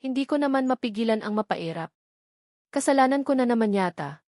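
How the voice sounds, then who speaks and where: A woman's voice: fairly high pitch, lively intonation, fairly quick, a little mellow, no echo, clean studio sound. A woman with a Filipino accent reading a scripted first-person novel as an audiobook narrator.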